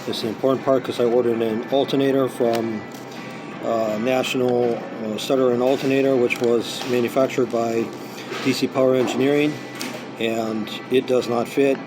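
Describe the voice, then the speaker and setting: Hoarse male vlogger, rambling and filler-heavy, mid-range voice, noisy café with music in the background. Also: mid-range voice, level tone, normal speed. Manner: rambling, many ums and uhs, digressive. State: hoarse from singing practice. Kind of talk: casual unboxing vlog. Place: inside a busy coffee shop. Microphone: camera on small tripod. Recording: noisy coffee shop with music playing. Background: background music and cafe noise.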